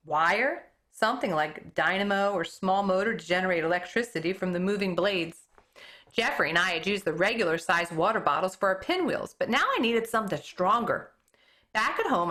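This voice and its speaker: Woman teacher, storybook read-aloud, bright tone, animated pitch, fixes her slips as she goes. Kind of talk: reading a book chapter aloud.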